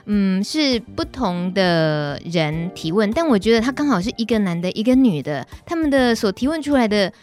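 A woman's voice: medium pitch, very animated, moderate pace, hardly any pauses, a little mellow. A woman with a Taiwanese Mandarin accent hosting a casual radio talk show in a chatty, teasing way. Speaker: radio host. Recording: music playing behind the voice. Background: background music.

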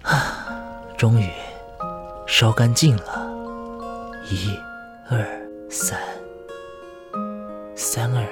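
An actor playing a vengeful, scheming man in a Mandarin audio drama, controlled and menacing in delivery. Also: mid-range voice. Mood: eerie gloating calm. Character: vengeful man, calm schemer turned gleeful killer.

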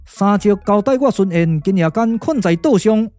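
A man's voice: high voice, lively intonation, moderate pace, darkish tone, soft background music. A man voicing a dutiful young warrior in a puppet radio drama, declaiming respectfully.

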